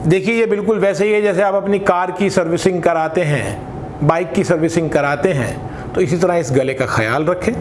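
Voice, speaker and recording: An Indian man giving instructional talk as a voice trainer, speaking in an emphatic, deliberate way and mixing Hindi with some English. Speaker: voice trainer for radio jockeys. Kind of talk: instructional talk with spoken demonstrations. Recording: echoey room with faint rumbling noise.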